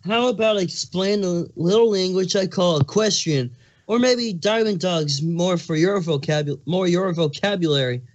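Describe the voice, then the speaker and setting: Man playing a cartoon changeling, male, heavily pregnant, very high voice, touchy, snappish, sarcastic. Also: moderate pace, highs cut off, close to an everyday voice. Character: irritable, moody pregnant male changeling creature with big appetite. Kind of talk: cartoon fan fiction dramatic reading.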